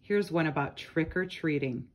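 A woman's voice: deep voice, normal speed. A woman reading children's nursery rhymes aloud from a picture book, speaking to young listeners. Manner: addresses young listeners with questions and short comments.

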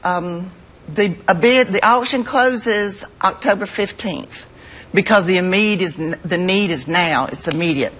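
A woman's voice: lowish voice, measured pace, a bit bright, breathy edge, poor audio. An American woman, an art teacher, speaking as a guest in a live TV interview, earnest and emphatic.

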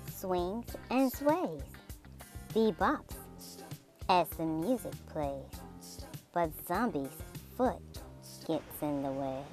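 A woman reading a rhyming children's picture book aloud in a dramatic, theatrical way, drawing out words and dropping into hushed phrases. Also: lowish voice. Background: music.

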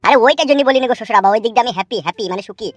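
A woman's voice: on the high side, racing, no echo, close to an everyday voice. A woman voicing a crude, grumbling male village son-in-law in a comedy cartoon, coarse and cheeky.

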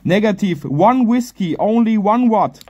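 A man's voice: high voice, animated, a little slow, a little mellow, slight background noise. A man working amateur radio contest exchanges outdoors, repeating short clipped phrases and callsigns in phonetics. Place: outdoors at a garden picnic table.

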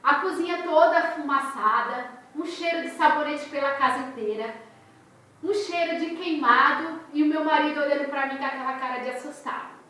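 A high, bright-voiced woman in her thirties with a Brazilian Portuguese accent, chatting to her viewers as she tells a story with lively expression. She sits at a desk in a small bedroom. The camera's mic picks her up from a little distance, and the room rings with strong echo. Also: normal speed. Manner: animated, expressive storyteller, gestures a lot. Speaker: crochet and crafts channel host. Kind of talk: casual storytelling vlog to viewers.

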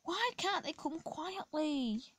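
A hoarse woman reading the lines of an old, kindly male steam engine from a storybook. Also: sits high, expressive, slow pace, fairly bright tone, no room sound, pitched above the performer's own voice, heavily acted. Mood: annoyed.

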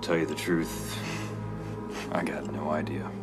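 A weary American convict in his thirties from a video game. His voice sits very low and he answers in short, guarded, evasive phrases over background music. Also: flat delivery, unhurried. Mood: frustrated.

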